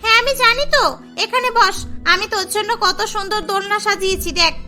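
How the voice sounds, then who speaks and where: A woman's voice: very high voice, fast, sharp tone, heavily acted. A woman voicing a boastful rich young woman who dotes on her brother, in melodramatic, emphatic cartoon style with music behind. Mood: fussing, pleading. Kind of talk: melodramatic cartoon story acting.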